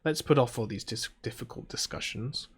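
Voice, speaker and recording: Soft-spoken British man, chilled delivery. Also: mid-range voice, normal speed, creaky, breathy edge. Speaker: gaming video host. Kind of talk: reading visual novel text aloud with casual asides.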